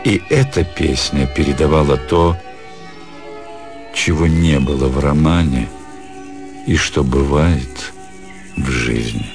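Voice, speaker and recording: A man narrating a literary story for radio in a measured, expressive storytelling manner. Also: rumbling low. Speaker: narrator, actor reading literary prose. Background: music under the voice.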